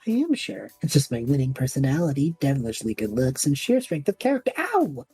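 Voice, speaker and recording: A woman voicing a cocky, theatrical young male magic student with a husky voice in an audio drama. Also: very deep voice, huge pitch swings, a little fast, dark tone, dry recording, soft background music, full character voice. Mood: boastful. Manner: theatrical, self-important, easily wounded. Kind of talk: audio drama character banter.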